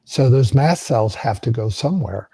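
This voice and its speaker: A hoarse man with a very dark, husky voice, explaining physiology in a live question-and-answer session. He pauses often with ums and uhs, and the sound is dry and close. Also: medium pitch, expressive, dry recording. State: hoarse, losing his voice. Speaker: co-host answering health questions.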